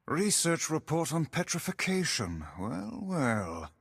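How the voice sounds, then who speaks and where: Pompous talking book, slow. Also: male voice, on the high side, big pitch swings, creaky, breathy edge, dry recording, studio quality, full character voice. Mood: haughty, sardonic. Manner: pompous, archly disdainful. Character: pompous, haughty talking magic book, male, middle-aged. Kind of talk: video game voice acting. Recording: loud music over voice. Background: loud game music.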